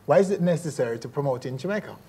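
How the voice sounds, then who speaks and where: A man's voice: on the high side, animated, rapid-fire, warm tone, slightly rough. A man in his forties hosting a studio interview, asking friendly, upbeat questions. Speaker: TV show host. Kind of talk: TV entertainment interview. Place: TV studio set.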